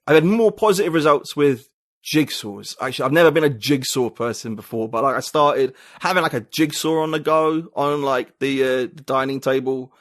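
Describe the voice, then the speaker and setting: A man's voice: on the high side, normal speed. A man talking as a podcast guest, thinking aloud in a rambling, reflective way with many fillers and restarts. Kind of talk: casual conversation.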